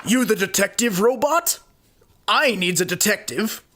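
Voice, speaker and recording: A woman's voice: medium pitch, expressive, a little slow, fairly bright tone. A woman playing a brash, demanding, indignant client with folksy speech in a comic audio drama. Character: pushy, indignant, demanding detective agency client.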